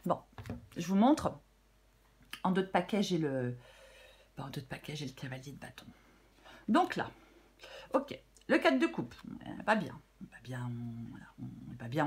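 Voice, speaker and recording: A woman with a French accent gives a casual tarot reading, her voice a little hoarse and husky. She speaks in a fairly low register, thinking aloud with frequent fillers, pauses and restarts. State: slightly hoarse. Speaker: tarot reader.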